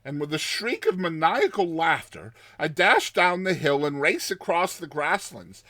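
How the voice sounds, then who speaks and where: A man performs a terrified man haunted by nightmares and close to losing his mind. His voice sits fairly high and swings widely in pitch, with intense, dramatic, stretched-out phrasing suited to a horror story. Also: big pitch swings, unhurried, sharp tone, no room sound, exaggerated voice. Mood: desperate dread. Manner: intense and dramatic, drawing out words. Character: haunted, terrified man recounting a recurring nightmare, near madness.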